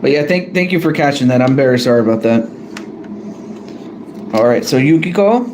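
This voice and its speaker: A man's voice: mid-range voice, expressive, a little fast, a little mellow, slight background noise, low-quality recording. A man casually drawing cards on a live stream, hesitant and apologetic, often correcting himself. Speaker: streamer drawing cards for a fan wrestling tournament.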